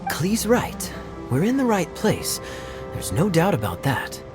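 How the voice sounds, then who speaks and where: A man voicing a suave, playful knight in a video game, with a smooth, formal way of speaking. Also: high voice, huge pitch swings, a little slow, full character voice. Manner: smooth, teasing, formal phrasing. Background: game music.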